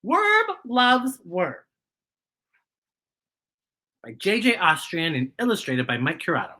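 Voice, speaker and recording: Animated, bright-voiced woman hosting story time. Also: fairly low pitch, slow pace. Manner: playful, exclamatory, talks directly to young listeners. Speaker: children's story time host. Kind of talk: animated children's picture-book read-aloud.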